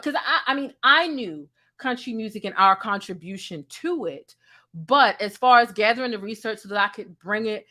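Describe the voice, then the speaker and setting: A woman with a deep voice hosts a live online commentary show. She talks straight to her viewers, leans on repeated lines for emphasis and keeps asking the chat questions. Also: bright, forceful tone. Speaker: YouTube commentary host. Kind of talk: livestream commentary.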